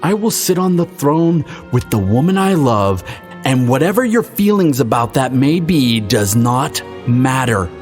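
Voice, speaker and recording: A man's voice: mid-range voice, sing-song, a little slow, a little mellow, soft background music. A man playing a proud, indignant elder crown prince in a fantasy audio drama, speaking formally and haughtily. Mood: stern, proud.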